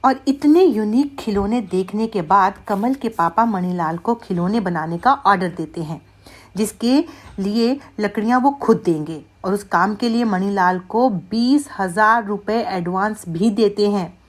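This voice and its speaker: A woman's voice: mid-range voice, a little slow. A woman narrating a scripted moral story for children as its storyteller.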